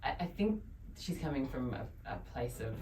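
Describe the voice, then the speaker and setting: Low-voiced woman directing, in a roomy space. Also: expressive, dark tone. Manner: halting, searching for words, thinking aloud. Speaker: director of an audio drama. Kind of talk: casual coaching of actors. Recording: roomy, distant mic, faint noise.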